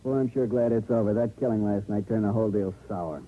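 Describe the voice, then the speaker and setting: A man's voice: lowish voice, steady tone, fast, natural voice. An actor playing a testy, defensive small-town man in the Western US, brusque and colloquial, in a 1950s radio drama. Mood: weary, casual. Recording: tinny, noisy old radio recording.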